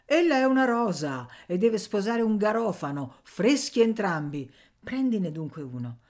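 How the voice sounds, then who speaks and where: A woman's voice: medium pitch, sing-song, measured pace, darkish tone, voice pushed up. A woman voicing a drunken, gossipy older serving woman in a storybook, exclamatory, rambling and insistent. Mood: excited, rambling.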